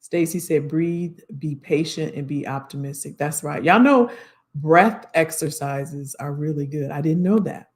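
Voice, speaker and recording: An American woman hosting a casual live career Q&A, chatting familiarly with viewers in folksy slang and repeating her phrases, her voice slightly hoarse. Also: sits low, a little slow, darkish tone.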